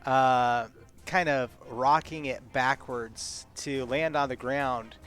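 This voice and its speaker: A man's voice: mid-range voice, steady tone, fairly bright tone, clear, resonant tone, soft background music. A man playing a tabletop game, describing his character's actions hesitantly with many fillers. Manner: slow, hesitant, full of filler words. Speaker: player. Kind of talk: casual tabletop play.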